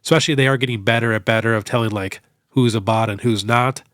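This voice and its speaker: A young man with a stuffed-up nose from a cold, hosting a casual question-and-answer show in a relaxed, chatty way full of fillers. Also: mid-range voice, quick pace, no room sound, clean studio sound. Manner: relaxed, chatty, many fillers like "you know". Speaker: host. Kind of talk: casual Q&A on card collecting.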